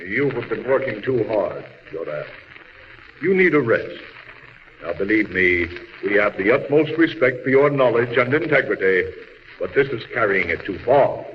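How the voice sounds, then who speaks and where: Pompous council elder, wildly swooping delivery. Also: male voice, on the low side, very animated, moderate pace. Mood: skeptical, condescending. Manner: pompous, declamatory. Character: dismissive, pompous male leader of an alien council. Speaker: radio drama actor. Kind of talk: 1940s radio drama acting. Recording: muffled, hissy old radio recording, long reverb.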